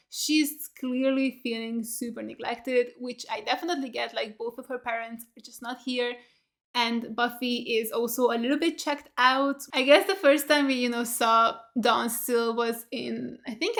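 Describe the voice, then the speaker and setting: Chatty young woman with a bright voice. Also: a little high. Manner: chatty, lots of fillers and rhetorical questions, gestures with hands. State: voice getting tired. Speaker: reaction video host. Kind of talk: casual recap chat. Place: small home studio room with bookshelf.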